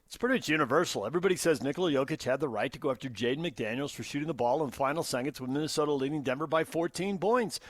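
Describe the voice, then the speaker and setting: High-voiced American male sports radio host. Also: no room sound. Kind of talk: sports talk radio banter, reading listener comments. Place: radio studio. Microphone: close studio microphone.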